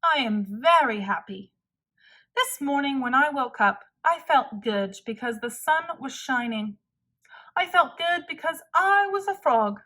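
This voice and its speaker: A woman voicing a contented, gentle male frog in a children's story, reassuring and earnest. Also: high-pitched, expressive, unhurried, heavily acted. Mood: happy, reassuring.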